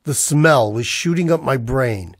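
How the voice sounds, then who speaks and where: A man's voice: mid-range voice, expressive, measured pace. An actor playing a tense, defiant man narrating a noir sci-fi ordeal in old radio drama style, hard-boiled and urgent. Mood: grim, reflective. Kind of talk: 1940s radio drama acting with first-person narration.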